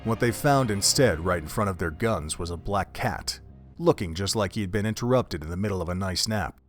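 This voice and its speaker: Man, audio drama narration, lowish pitch, measured storytelling, background music. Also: animated.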